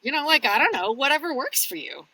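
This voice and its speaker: A woman's voice: sits high, expressive, fast talker, bright, forceful tone, no room sound, rough recording. A woman who works as an audiobook narrator, chatting casually as an interview guest in a candid, easygoing, self-deprecating way. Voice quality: husky.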